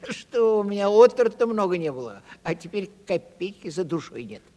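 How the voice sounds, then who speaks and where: A cheeky, plaintive vagabond comic in a 1970s stage play for audio. His voice sits high and jumps about a great deal as he spins out his words. The recording has a long, hall-like reverb. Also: normal speed. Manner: animated, plaintive storytelling.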